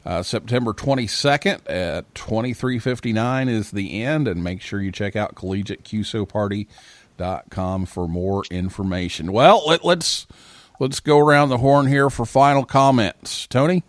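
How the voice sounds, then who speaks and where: A man's voice: fairly low pitch, normal speed, no room sound. An American man casually hosting a live talk show.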